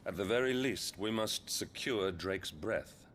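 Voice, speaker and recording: Very deep British duke, commanding. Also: male voice, animated, fairly bright tone, slight background noise. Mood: grave, resolute. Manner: measured, authoritative. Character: commanding, weary medieval duke and father. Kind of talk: dramatic fantasy game acting.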